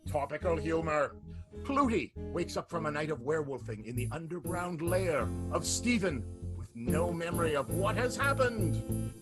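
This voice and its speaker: Male host, high voice, wry theatrical announcing, comic radio narration, music behind. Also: lively intonation, normal speed.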